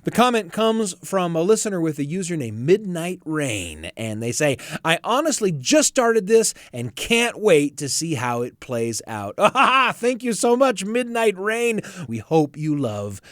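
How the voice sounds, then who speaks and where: A man's voice: sits high, big pitch swings, measured pace, few pauses, a bit bright, no room sound, clean studio sound. A man hosting a podcast with friendly, enthusiastic scripted narration. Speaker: podcast host.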